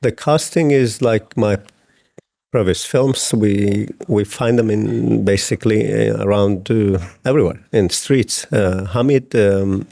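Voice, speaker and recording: Low, dark-voiced hesitant man. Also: measured pace, vocal fry. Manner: hesitant, many fillers and restarts. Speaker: film director. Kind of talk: interview answers.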